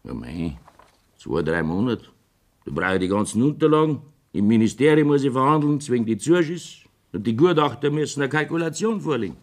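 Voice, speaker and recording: A man's voice: medium pitch, lively intonation, a little fast, natural voice. A jovial, evasive Bavarian village mayor played by a male actor blusters in earthy dialect. His mid-range voice swings widely up and down, in folksy radio play style.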